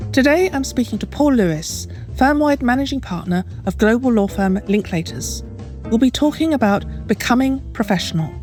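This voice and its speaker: A woman hosting a podcast, reading a measured scripted introduction and then interviewing her guest. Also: fairly high pitch, darkish tone.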